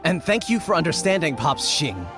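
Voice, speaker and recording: A man's voice: fairly high pitch, sing-song, normal speed, over music. A man voicing an earnest, apologetic young villager in a video game, pleading and at times tripping over his words. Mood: relieved, grateful.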